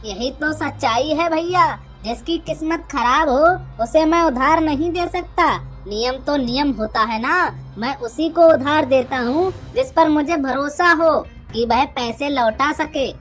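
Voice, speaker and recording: A woman's voice: high-pitched, measured pace, hardly any pauses, soft background music. A woman voicing an arrogant, spiteful, boastful village headman, a cunning younger brother in a Hindi cartoon story. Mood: scornful. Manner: boastful, scornful.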